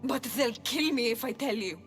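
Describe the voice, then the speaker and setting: A woman's voice: a little high, lively intonation, moderate pace, soft background music, natural voice. A woman playing a proud but frightened oracle priestess in a video game, speaking in a solemn, theatrical, earnest way. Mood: fearful, urgent. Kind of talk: dramatic video game cutscene acting.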